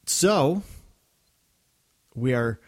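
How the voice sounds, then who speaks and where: A man co-hosting a fan podcast, speaking in an earnest, thankful, steady way. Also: mid-range voice, very slow. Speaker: podcast co-host. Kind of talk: casual podcast chat.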